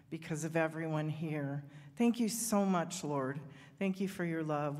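A woman's voice: low-pitched, darkish tone. A woman leading a heartfelt, fervent prayer from a church stage. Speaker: prayer leader. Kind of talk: spoken prayer. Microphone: handheld microphone, far camera. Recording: reverberant hall.

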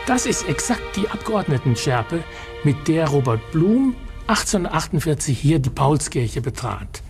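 A man's voice: fairly high pitch, big pitch swings, normal speed, over music. A man giving explanatory commentary on history for a documentary.

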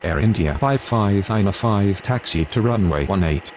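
A man's voice: on the low side, animated, a little slow, a little mellow, slight background noise. A man voicing an airline pilot and tower exchanges in a game, with clipped, procedural scripted radio phraseology. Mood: businesslike.

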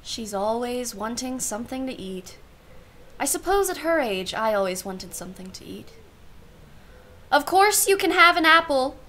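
A woman's voice: fairly high pitch, big pitch swings, moderate pace, sharp tone. A woman playing a wry, genteel aunt in a radio play, musing in an arch, formal way. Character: wry, genteel aunt, self-professedly unsentimental.

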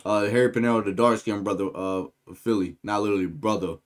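A man's voice: fairly low pitch, fast. Young American male streamer, headset mic, loose rambling jokey reaction chatter. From United States. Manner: loose, rambling and joking, talking to chat. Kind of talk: casual live-stream commentary.

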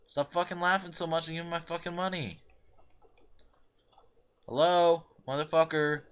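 A man's voice: a little high, steady tone, moderate pace, a little hiss or hum. A young man arguing on an internet voice call, aggressive and profane, demanding the same thing over and over. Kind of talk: heated argument on an internet voice call.

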